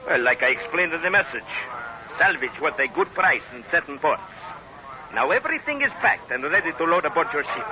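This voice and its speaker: An actor playing a smug, flattering pirate salvage boss in an old-time radio adventure, speaking formally and wordily with an ingratiating polish. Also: male voice, a little high, fairly quick. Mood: businesslike, cordial. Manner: suave, ingratiating, wordy and formal. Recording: dull, noisy vintage radio recording.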